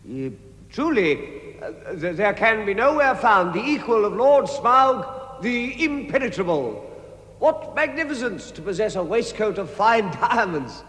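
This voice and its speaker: An actor playing a small, nervous but cheeky hobbit burglar in a vintage radio drama, stammering and excitable. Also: male voice, high-pitched, measured pace, full character voice. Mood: nervous flattery. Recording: reverberant hall, noisy vintage radio recording.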